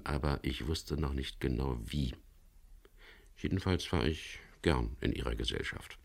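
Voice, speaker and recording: Seafarer telling his crime story, super low gravelly voice, measured radio play narration. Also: heavily acted. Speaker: first-person narrator.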